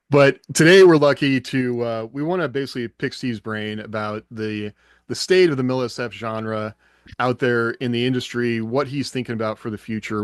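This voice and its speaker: Male podcast host, mid-range voice, casual and a little halting, recorded dry and close. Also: mid-range voice, no room sound. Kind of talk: casual podcast interview hosting.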